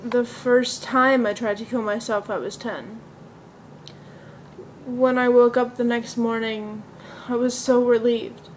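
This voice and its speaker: A woman performing an amateur stage monologue as a depressed young woman, confiding earnestly and deliberately, recorded on an iPod. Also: a little high, steady tone, slight background noise, poor audio. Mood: quiet, bleak.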